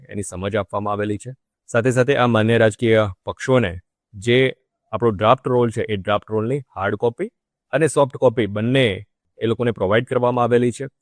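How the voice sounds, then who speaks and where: A man speaking as a government official, giving a formal, measured public statement with repetitive official phrasing. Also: fairly low pitch, no echo, studio quality.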